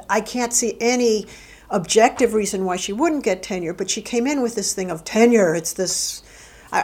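A middle-aged woman speaks in an interview with a mid-range voice, thinking aloud and often restarting her sentences. She talks about her work plainly and deliberately. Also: mid-range voice. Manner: thoughtful, halting, with frequent restarts and fillers. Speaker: interviewee, university faculty administrator. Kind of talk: oral history interview.

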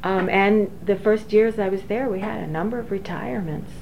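A woman in her forties, an engineering professor, recalling her career slowly with many fillers and restarts. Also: medium pitch, vocal fry. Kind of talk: oral history interview. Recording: dull lo-fi recording with faint hiss.

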